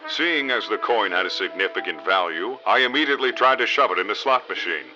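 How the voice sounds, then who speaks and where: Casting: male actor as dreamy, clueless rookie gumshoe, lowish register, mock-serious hard-boiled radio narration, slightly dull recording. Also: lively intonation, brisk. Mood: brooding narration. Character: hapless novice male private detective, deadpan, dim and dreamy. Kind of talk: parody noir radio drama narration. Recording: dull lo-fi audio under loud music.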